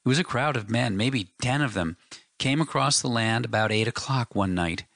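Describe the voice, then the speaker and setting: A man's voice: fairly low pitch, normal speed, a bit bright, no room sound, studio quality. A man reading the part of a grieving young farm wife, in a tearful, faltering way. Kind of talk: audiobook character reading.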